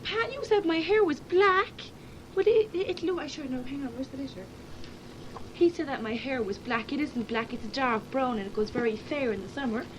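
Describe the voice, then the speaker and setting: A teenage girl from Cork with a Cork Irish accent, talking fast and jokingly on a tape letter, quick to protest and laugh. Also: sits high, highs cut off. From Ireland. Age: late teens. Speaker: schoolgirl recording a tape letter. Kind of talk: casual chat recorded on a home cassette. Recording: hissy 1980s cassette tape.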